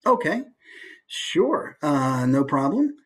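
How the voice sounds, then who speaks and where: A man's voice: on the high side, very animated, slow pace, husky. A man leading an online class as an informal, encouraging instructor. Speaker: voice acting workshop presenter. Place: online video call.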